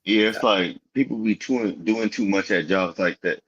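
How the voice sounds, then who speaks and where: A man's voice: on the low side, moderate pace, a bit bright, husky. A man in his thirties chatting casually in a laid-back, agreeable way. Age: 30s. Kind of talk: casual chat. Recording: dull lo-fi sound.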